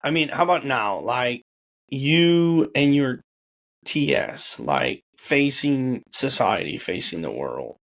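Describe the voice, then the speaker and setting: A young man with a gravelly, creaky voice leads a relaxed interview in a loose, conversational way. He often restarts and repeats words while he works out his questions. His pitch sits in the middle of the male range. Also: slow pace. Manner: informal, repeats words as he thinks. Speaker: host. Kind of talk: casual interview chat. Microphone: video call or home camera.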